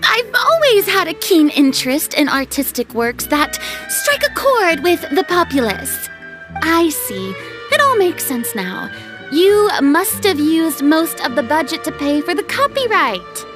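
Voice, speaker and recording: A woman's voice: extremely high pitch, very animated, unhurried, over music, full character voice. A woman playing a proud, theatrical young star turned film director in a video game, haughty and self-important. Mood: proud, curious.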